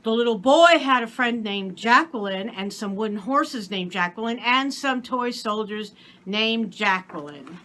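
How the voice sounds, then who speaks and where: A woman as an indulgent storytelling papa, bright voice. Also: a little high, animated, measured pace, slight background noise. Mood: patient storytelling. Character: sleepy, indulgent papa telling his child a story.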